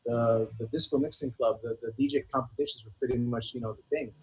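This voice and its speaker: Middle-aged man chatting in a halting way. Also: medium pitch, fairly even intonation, slight background noise, low-quality recording. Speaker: guest, rapper. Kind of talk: casual reminiscing interview chat.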